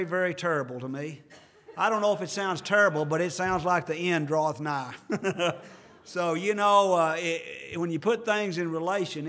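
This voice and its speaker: A man in his fifties delivers a sermon, speaking earnestly and conversationally. His voice sits a little high for a man, and he drops into homely asides as he goes. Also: a bit bright. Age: 50s. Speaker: pastor.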